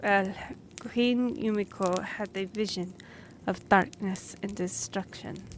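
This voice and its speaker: Woman acting a slow-spoken, formal half-elf envoy. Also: mid-range voice, a little hiss or hum, lightly acted, low-quality recording. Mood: grave. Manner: formal, hesitant, measured. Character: young half-elf noblewoman, earnest royal envoy, slightly awkward. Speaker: voice actor in a roleplay audio drama. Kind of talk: fantasy roleplay audio acting, addressing the listener.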